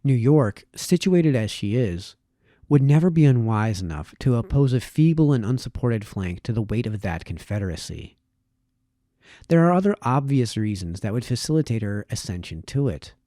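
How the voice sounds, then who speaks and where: A man reading formal essays aloud as narration, with measured, emphatic phrasing and deliberate pauses. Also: mid-range voice, very animated, mellow, dry recording, clean studio sound. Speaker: amateur reader of historical essays.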